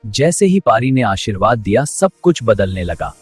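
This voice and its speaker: A man narrates a scripted cartoon story. His pitch is average but moves a great deal, and faint music plays behind him. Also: very animated, moderate pace, slightly rough.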